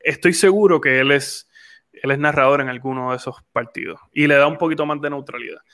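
A man's voice: mid-range voice, a little fast, bright, forceful tone. A man in his twenties with a Puerto Rican accent, hosting a podcast in casual, rambling chat. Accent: Puerto Rican Spanish. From Puerto Rico. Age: 20s. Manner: rambling, frequent restarts and fillers. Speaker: podcast host.

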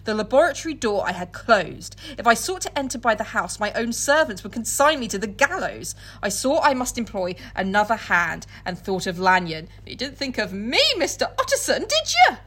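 A woman's voice: fairly high pitch, big pitch swings, fairly quick, hardly any pauses, a bit bright. A woman podcast co-host mixing casual, comic chat with dramatic book readings, giggly, irreverent and free with swearing.

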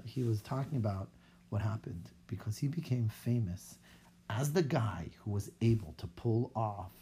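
A man's voice: lowish voice, gravelly, mellow, slight background noise. A male teacher telling a story to his class in a casual, explaining way.

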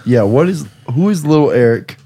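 A young man hosting a podcast, hoarse from screaming, talking in a rambling, profane, jokey way. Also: on the high side, animated, normal speed, dark tone, no room sound. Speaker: podcast host. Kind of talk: casual chat.

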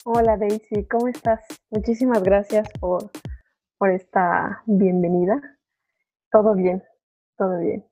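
A woman's voice: mid-range voice, fairly even intonation, unhurried, warm tone, soft background music. A young woman with a Mexican accent chatting informally as a podcast guest, often correcting herself as she tells her story. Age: young adult. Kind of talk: casual chat, personal story. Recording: narrow phone-like remote call audio.

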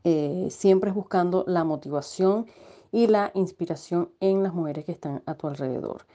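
A woman from Venezuela with a Venezuelan accent, hosting a podcast interview and filling pauses with many "eh" and "este" sounds. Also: lowish voice, dark tone, muffled recording. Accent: Venezuelan Spanish. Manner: hesitant, many fillers. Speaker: podcast host. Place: home setup, recording at night.

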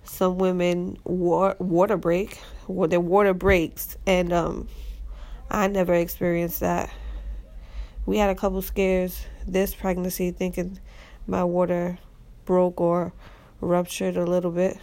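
A woman with a stuffy nose from a cold, telling her own story as a guest in a soft-spoken, unhurried way. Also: lowish voice, little pitch movement. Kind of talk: casual personal storytelling.

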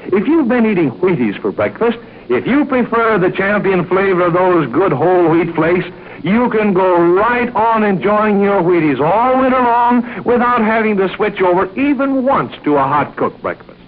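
A male announcer from 1940s radio delivers a commercial on a dull old recording. His voice sits high and moves in big, lively swings. He talks straight to young listeners with an emphatic salesman's push. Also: moderate pace.

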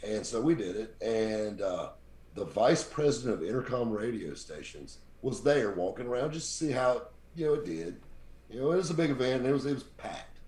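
A man, telling stories as a guest in a casual chat. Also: normal speed. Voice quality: very deep voice. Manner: animated, mimics voices in his stories. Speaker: podcast guest, radio show host. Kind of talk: casual storytelling. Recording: echoey room.

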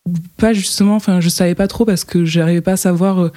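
A woman's voice: lowish voice, steady tone, fast talker, dark tone. A woman, a fashion model, talking casually in an interview, hesitant and full of fillers and restarts.

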